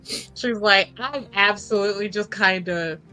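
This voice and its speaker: A woman playing a tabletop game, describing her character's actions in casual, halting, informal talk. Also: medium pitch, pushed and bright, poor audio. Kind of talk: casual improvised roleplay chat. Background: music.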